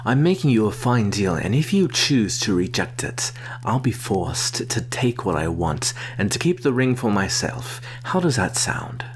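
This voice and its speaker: A man playing a sly, menacing vampire in an ASMR roleplay, speaking in a soft, breathy half-whisper with slow, formal phrasing. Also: on the low side, big pitch swings. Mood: quietly threatening. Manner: slow, deliberate, formal wording. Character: predatory male vampire, sly, coaxing and quietly menacing. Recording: clear background hum and noise.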